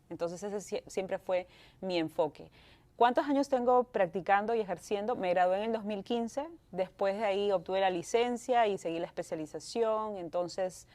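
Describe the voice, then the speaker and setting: A woman's voice: mid-range voice, a little mellow. A woman from Peru speaks with a Peruvian Spanish accent, a lawyer in her thirties who is a guest on an interview show. She talks in a chatty, easy way and now and then slips English words into her Spanish. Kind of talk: interview answers.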